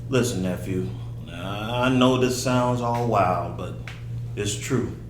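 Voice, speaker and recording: A man's voice: on the low side, slow pace. A man at a script table read playing an insistent, eccentric uncle who hears spirits, with a rambling, folksy manner. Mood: earnest, mystical. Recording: roomy, distant, noisy with hum.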